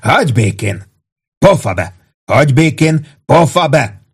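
A man reading an audiobook plays an old army crony, sly and gossipy. The voice is husky, savoring each remark, with a short fuse underneath. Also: lowish voice. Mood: exasperated yelling. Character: old soldier, sly gossiping barracks crony, easily exasperated. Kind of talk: dramatized audiobook dialogue.

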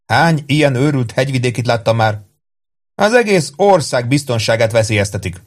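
A man's voice: lowish voice, a little mellow, lightly acted. A man voicing a scheming army first sergeant in an audiobook, speaking emphatically and with calculated earnestness. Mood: zealous grumbling.

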